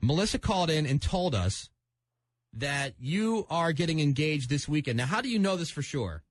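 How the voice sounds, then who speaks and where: A man's voice: fairly high pitch, sing-song, moderate pace. A man hosting a radio show, chatting on air with a caller in a conversational presenter style. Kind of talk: on-air prank call banter. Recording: radio broadcast audio.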